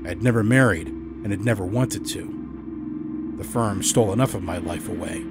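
A man narrating a first-person horror story as a storyteller, dramatic and expressive in his emphasis. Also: fairly low pitch, moderate pace, loud music behind the voice. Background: musical score.

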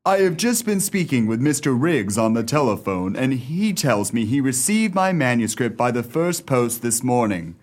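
An actor playing a stuffy old English gentleman in a radio play, speaking in a formal, fussy, slightly faltering way. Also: medium pitch, very animated, moderate pace, few pauses. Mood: pleased surprise.

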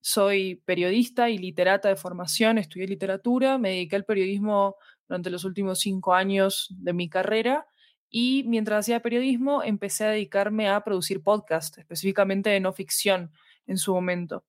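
A woman's voice: mid-range voice, steady tone, moderate pace, no echo, clean studio sound. A woman from Argentina, talking casually as a podcast guest. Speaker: podcast guest, fiction podcast producer. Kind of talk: casual interview talk.

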